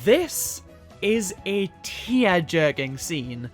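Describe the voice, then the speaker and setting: A woman's voice: fairly low pitch, big pitch swings, drawn-out words, soft background music. A woman delivering scripted video essay commentary as a reviewer, opinionated and emphatic in a casual way.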